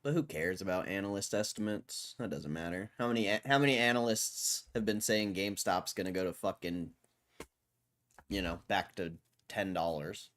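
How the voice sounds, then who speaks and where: A man running a casual stock market live stream, losing his voice, clearing his throat often and swearing offhandedly as he reads and reacts. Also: deep voice, normal speed, a bit bright. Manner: laid-back, swears casually, mutters while reading.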